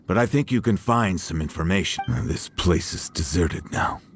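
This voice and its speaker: A man voicing a hesitant, shaken older village uncle in a video game, halting, emotional and apologetic. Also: sits low, a little hiss or hum, natural voice.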